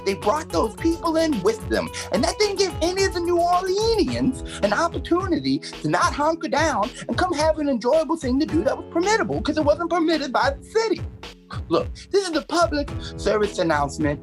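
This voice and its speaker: A worked-up radio personality in an indignant mock announcement. The pitch swings widely and the delivery is emphatic. Music plays clearly behind the voice. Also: big pitch swings, fairly quick. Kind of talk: mock public service rant.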